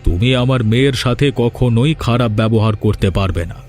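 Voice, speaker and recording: A scheming older father-in-law in a village fairy tale cartoon, acted by a man. His mid-pitched voice is insistent and conspiratorial, with music playing behind it. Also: a little fast, darkish tone, natural voice.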